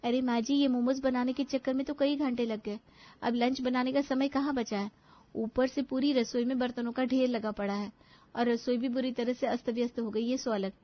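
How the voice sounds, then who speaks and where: A woman's voice: a little high, fairly even intonation, moderate pace, pitched above the performer's own voice, close to an everyday voice. A woman voicing a young, complaining daughter-in-law in a family cartoon, with a whiny, protesting manner. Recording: dull lo-fi audio.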